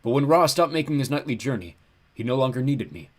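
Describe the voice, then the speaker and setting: A man voicing a melancholy giant ram-headed god in an audiobook, booming and bleating, mournful and courteous even when threatening. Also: a little fast, close to an everyday voice. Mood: forlorn.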